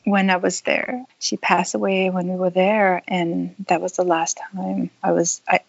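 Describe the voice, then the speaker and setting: A woman who grew up in Thailand, telling her life story in an unhurried, thoughtful interview, with small laughs. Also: on the low side, flat delivery, vocal fry, husky, no echo, highs cut off. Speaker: podcast guest. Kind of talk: conversational interview.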